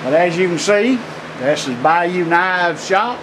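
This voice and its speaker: A middle-aged man, talking a little higher than most men as he ambles through a cramped metal workshop. He rambles in a friendly way, stopping often with "uh." The handheld camera audio carries a steady hiss and an air conditioner hum. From Louisiana, USA. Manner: rambling, friendly, with many pauses and fillers. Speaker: knife maker. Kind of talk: casual workshop tour. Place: small metal workshop building, indoors. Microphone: handheld camera mic.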